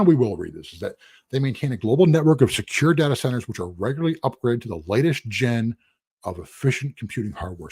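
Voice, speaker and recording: A husky man, losing his voice, teaching a casual live-streamed lecture in a rambling, conversational way with asides. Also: mid-range voice, no echo, clean studio sound. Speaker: instructor.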